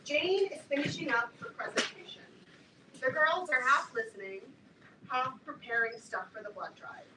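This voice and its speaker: A woman's voice: high-pitched, normal speed, close to an everyday voice. A woman giving scripted narration, reading stage directions at a staged play reading. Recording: echoey room, distant mic, clear noise.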